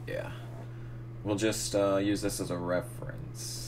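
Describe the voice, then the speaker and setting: Young man, low voice going hoarse, casual self-narrating editor who swears at the screen. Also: little pitch movement, a little slow, background noise. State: losing his voice. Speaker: gaming channel host. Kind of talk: casual tutorial commentary while editing.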